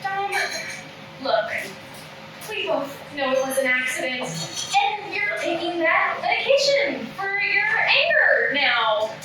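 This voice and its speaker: A woman's voice: very high voice, big pitch swings, slow, over loud music, heavily acted. A woman at a comedy table read playing an earnest, prim, self-deprecating podcast host who scolds in exasperation. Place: indoor room at a table read. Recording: roomy echo, distant mic, background noise.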